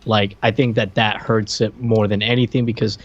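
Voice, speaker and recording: A man's voice: on the low side, level tone, normal speed. Slightly dull-sounding man in casual chat. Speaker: podcast co-host. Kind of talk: casual chat reviewing old media.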